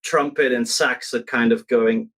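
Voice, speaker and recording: Male recording engineer from Belgium, mid-pitched, opinionated, casual conversational delivery. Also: fairly even intonation, a little slow, fairly bright tone. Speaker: recording engineer, interview participant.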